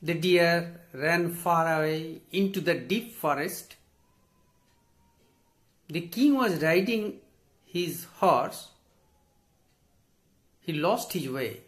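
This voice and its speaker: A man in his sixties with an Indian English accent, reading and explaining a story for students in deliberate, short phrases like a teacher. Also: fairly high pitch, animated, fairly bright tone. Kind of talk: story read aloud and summarised for students. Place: small indoor study with bookshelves.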